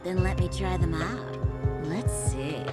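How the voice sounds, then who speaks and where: A woman's voice: fairly low pitch, slow, over loud music. A woman playing a cocky, violent young female fighter in a video game cutscene, with a taunting, menacing playfulness. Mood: mocking.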